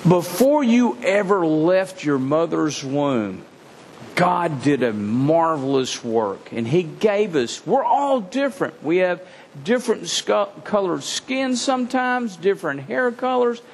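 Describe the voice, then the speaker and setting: A man's voice: fairly high pitch, sing-song, slow, slight background noise. A man giving a children's sermon, earnest and addressing the kids directly. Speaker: children's pastor. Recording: hall reverb with faint ambience.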